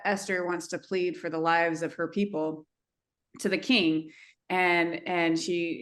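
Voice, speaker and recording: A woman hosting a podcast, talking casually and thinking aloud in a halting, reflective way. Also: lowish voice, fairly bright tone. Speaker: podcast host. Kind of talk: casual conversational storytelling.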